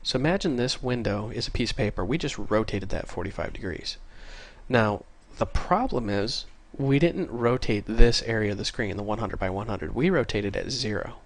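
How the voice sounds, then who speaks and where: A man with a congested voice from a cold, casually talking through a programming tutorial and getting excited over small things. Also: fairly low pitch, moderate pace. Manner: casual, easily excited, chatty. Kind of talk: screen-recorded coding tutorial. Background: faint keyboard typing.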